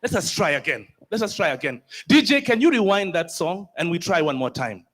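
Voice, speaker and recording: High-voiced Ugandan emcee rousing a crowd. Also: male voice, a little fast. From northern Uganda. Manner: energetic, crowd-rousing, switches into French. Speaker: conference master of ceremonies. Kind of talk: lively emcee hosting a conference. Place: large conference hall.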